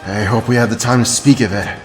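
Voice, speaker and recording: A man's voice: medium pitch, steady tone, brisk, faint music behind, lightly acted. A man playing a noble, earnest alien warrior prince in an audio drama, formal and courteous.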